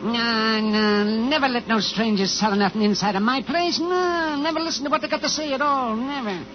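An actor playing a suspicious, stubborn householder in a 1950s radio drama. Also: male voice, very high-pitched, moderate pace, over loud music. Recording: dull, noisy 1950s radio recording.

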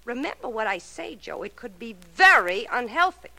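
An actress playing a tough, jealous, scheming dance partner, sarcastic and slangy, in a 1940s radio drama. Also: female voice, on the high side, sing-song, normal speed, vocal fry, bright, forceful tone, full character voice, rough recording. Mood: jealous, threatening.

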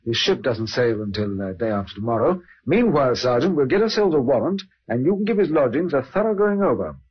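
Husky, shrewd police inspector. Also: male voice, medium pitch, sing-song, a little fast. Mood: brisk, commanding. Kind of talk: 1950s radio acting. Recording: dull old radio recording.

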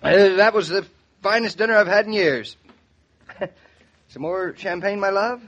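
An actor playing a teasing, jovial young husband in a 1940s radio drama. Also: male voice, high voice, a little slow, a bit bright, a little hiss or hum. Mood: flustered bluffing. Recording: muffled vintage 1940s radio recording.

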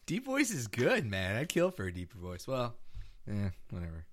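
A man from Canada co-hosts a podcast, trading blunt jokes and profanity in loose banter. His pitch sits in the ordinary middle range for a man. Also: huge pitch swings, normal speed, fairly bright tone. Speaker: podcast co-host. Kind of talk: casual chat.

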